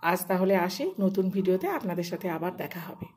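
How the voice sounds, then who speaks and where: A woman's voice: on the low side, flat delivery, fast talker, a little mellow. A woman, a home cook narrating a recipe step by step in plain, short, casual phrases. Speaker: home cook hosting a cooking channel.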